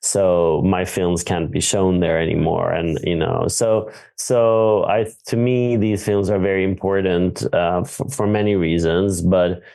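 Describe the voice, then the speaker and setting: Director guest, man from Sweden, low gravelly voice, thinks aloud and restarts often. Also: steady tone, dark tone. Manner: thoughtful, halting, many fillers and restarts. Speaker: film director. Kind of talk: Q&A conversation on stage. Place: theater.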